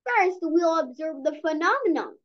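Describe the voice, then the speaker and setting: Young read-aloud host, animated and high, stumbles while reading, slightly muffled recording. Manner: halting reading, stumbles and repeats words. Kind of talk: reading a children's science comic aloud. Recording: dull lo-fi recording.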